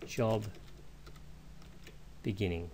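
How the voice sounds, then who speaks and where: A man giving an informal, step-by-step software walkthrough, explaining as a screencast instructor. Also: fairly low pitch, extremely slow, slight background noise. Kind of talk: step-by-step screencast tutorial narration.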